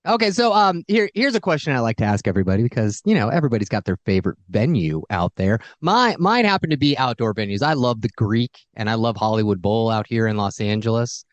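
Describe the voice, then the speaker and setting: A woman's voice: extremely low pitch, very animated, fast talker, hardly any pauses, dry recording. An American woman co-hosting a casual interview, chatty and enthusiastic. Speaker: interviewer, co-host.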